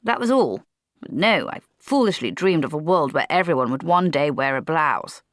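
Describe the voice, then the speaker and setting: A woman's voice: low-pitched, expressive, moderate pace, no echo, studio quality. A woman reading aloud, voicing a polite, nervous young male army lieutenant in a storybook. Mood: fretful.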